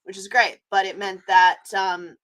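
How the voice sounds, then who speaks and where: A woman's voice: medium pitch, level tone, unhurried, a bit bright. A woman from Canada chatting casually on a live vlog, rambling with lots of fillers and restarts. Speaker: vlogger. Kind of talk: casual live-stream chat.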